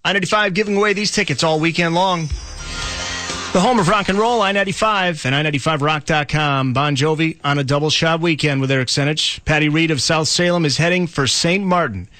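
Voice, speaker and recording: A man's voice: a little high, brisk, bright, forceful tone, soft background music. An American man working as a rock radio DJ, announcing songs, contests and local news in a polished, punchy, upbeat announcer style.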